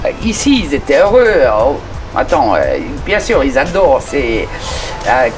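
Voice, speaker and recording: A woman's voice: deep voice, very animated, a little slow, music in the background. A middle-aged woman being interviewed, talking conversationally with many hesitations and restarts. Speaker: interview guest.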